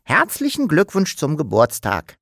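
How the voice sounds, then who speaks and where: Guy doing a mischievous little kid creature, squeaky and teasing, storybook style. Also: wildly swooping pitch, a little slow, fairly bright tone, no echo, studio quality, exaggerated voice. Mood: cheeky. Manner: bouncy, rhyming, teasing. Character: cheeky childlike creature, playful and mischievous. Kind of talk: audiobook character voice.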